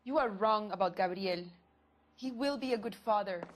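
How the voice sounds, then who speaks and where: A woman's voice: mid-range voice, moderate pace, a little hiss or hum, close to an everyday voice. An actress playing a loyal, defensive young expectant mother in a crime game, with a Spanish accent and careful, formal phrasing. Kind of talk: dramatic video game acting.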